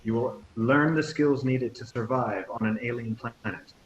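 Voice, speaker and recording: A man voicing a warm, commanding captain in his fifties, giving a measured speech in a table read. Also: medium pitch, close to an everyday voice. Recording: echoey, muffled, hissy audio.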